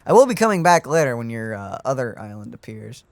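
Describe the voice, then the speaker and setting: A man's voice: mid-range voice, big pitch swings, fast, creaky, no room sound. A young man teasing and making wry remarks while playing a game. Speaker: gameplay commentator. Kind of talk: casual gameplay banter.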